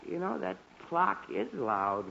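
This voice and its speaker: A man's voice: sits high, sing-song, slow, vocal fry, pushed and bright, full character voice. An actor playing a grumbling, exasperated middle-aged father with theatrical, blustering complaints in a 1940s radio comedy. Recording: muffled, noisy 1940s radio recording.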